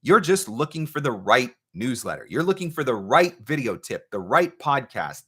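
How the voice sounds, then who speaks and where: Male host and coach, mid-pitched and crisply bright, fielding audience questions with emphatic, deliberate delivery. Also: sing-song. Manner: emphatic, deliberate, repeats key points. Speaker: host, voice-over marketing coach. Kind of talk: live Q&A advice talk, reading out viewer questions.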